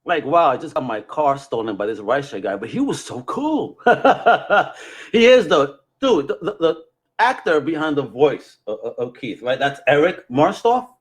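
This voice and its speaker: A man's voice: fairly high pitch, lively intonation, moderate pace. A man hosting a podcast with exuberant, slangy, hype-filled casual chat. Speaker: podcast host.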